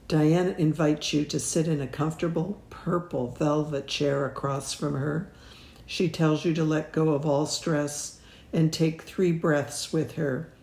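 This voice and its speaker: A woman narrates a guided meditation at a very unhurried pace. Her tone is soft-edged and mellow, with measured, soothing phrasing and long pauses. The sound is roomy, with a noticeable echo around the voice. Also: sits low.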